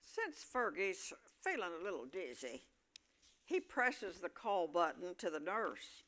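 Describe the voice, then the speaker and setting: A woman narrates an amateur play from a script. Her voice is fairly low and lively in pitch, and she takes her time with each phrase. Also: unhurried.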